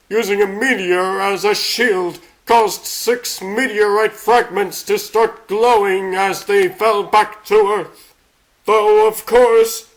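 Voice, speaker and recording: A man's voice: high voice, fairly bright tone, poor audio. A man voicing a plucky, sarcastic little talking rabbit superhero in a cartoon comic dub. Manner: sarcastic, chatty, quipping. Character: wisecracking, plucky three-foot talking rabbit superhero, male.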